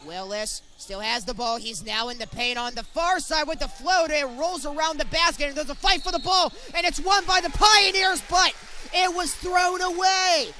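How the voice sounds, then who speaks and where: High-pitched man calling live sports play-by-play. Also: normal speed, pushed and bright. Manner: describes action in short clipped phrases.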